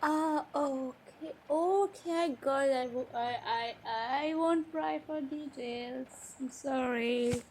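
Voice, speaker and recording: Slow, high-voiced woman playing a hurt young stepsister. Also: darkish tone, rough recording. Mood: concerned, awkward. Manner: emotional, halting, with sighs and pauses. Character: hurt, defiant young stepsister, college student. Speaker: roleplay audio performer. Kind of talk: improvised audio roleplay. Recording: faint background noise.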